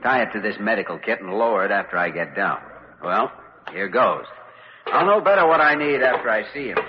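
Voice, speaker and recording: Male actor as kindly, decisive young American doctor, radio drama; expressive delivery, muffled vintage sound. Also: fairly low pitch, a little fast, natural voice. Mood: brisk, urgent. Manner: reassuring, decisive. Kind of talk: old-time American radio drama acting. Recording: noisy, muffled old radio recording with clicks.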